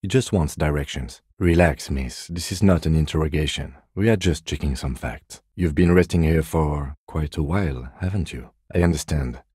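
A restrained, courteous police lieutenant for a video game. His voice is deep, dark and husky, delivered in a measured deadpan with a dry, sardonic edge. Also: no room sound, heavily acted. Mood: calm, formal. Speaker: game character, police detective. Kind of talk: video game dialogue voice lines.

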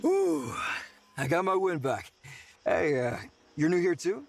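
A man's voice: fairly high pitch, huge pitch swings, measured pace, gravelly, music in the background, exaggerated voice. A man voicing a friendly, easygoing young construction worker, chummy and casual, in an anime game cutscene.

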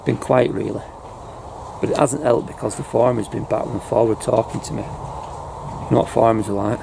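A man's voice: fairly even intonation, moderate pace, creaky. A middle-aged man, chatting casually to the camera about airgun pest control from inside a farm building. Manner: low-key, chatty, fillers like "you know". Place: farm building loft, indoors. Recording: noisy outdoor-farm ambience.

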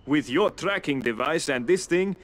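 A man's voice: on the high side, lively intonation, moderate pace, natural voice. An actor playing an arrogant male inventor who talks down to others. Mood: condescending. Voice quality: condescending. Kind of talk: video game voice acting.

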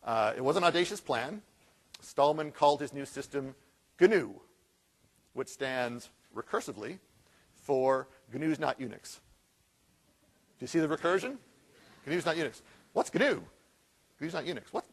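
A man's voice: on the high side, unhurried, pauses often, breathy edge. Man telling tech history on stage. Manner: animated storytelling with rhetorical questions. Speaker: keynote speaker. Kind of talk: conference keynote lecture.

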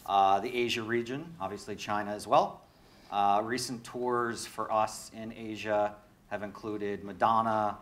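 A man in his forties, from New York, speaking as a panelist on a conference stage, brisk and matter-of-fact into a handheld microphone. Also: lowish voice, a bit bright. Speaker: panelist, concert promoter. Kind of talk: panel discussion.

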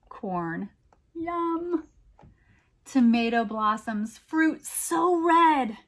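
A middle-aged American woman reads a picture book aloud, adding expressive, wondering comments for young listeners. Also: a little high. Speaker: storytime reader and teacher.

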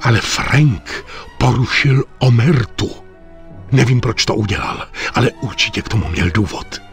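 A stern aging crime boss, with a creaky edge to his voice. He speaks gravely and with authority, his pitch moving widely, as in a dramatic game cutscene over music. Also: animated. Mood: grim resolve. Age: older.

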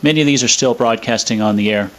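A man explaining a demonstration in a measured, matter-of-fact way, with a radio playing. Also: medium pitch, fairly bright tone. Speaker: presenter demonstrating a vintage radio. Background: radio playing music and stations.

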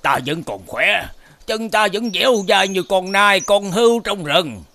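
A man's voice: high-pitched, animated, unhurried, pushed and bright, full character voice. A man playing a spry, jovial old villager in a folk-tale cartoon.

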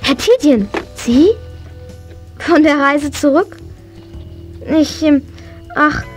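A woman's voice: sits high, animated, takes it slowly, faint music behind. An actress playing a spirited, horse-loving young girl in a German children's audio drama. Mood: earnest, soft.

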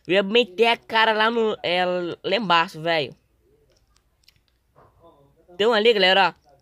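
A young man with a Brazilian accent and a slightly hoarse voice, doing casual, slangy gameplay commentary as a video host. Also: high voice, unhurried, a bit bright, no echo, dull audio. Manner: informal, slangy.

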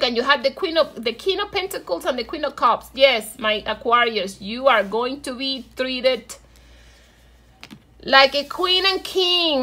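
A woman's voice: high-pitched, sharp tone. A woman from Venezuela with a Venezuelan Spanish accent, giving a casual, encouraging tarot reading and addressing viewers affectionately. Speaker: tarot reader.

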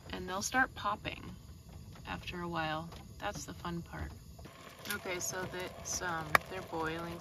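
A woman in her thirties chatting casually in a cooking vlog, relaxed and rambling, thinking aloud as she goes, filmed on a phone at arm's length inside a parked car. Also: on the low side. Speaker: vlogger. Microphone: phone camera at arm's length. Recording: noisy car interior.

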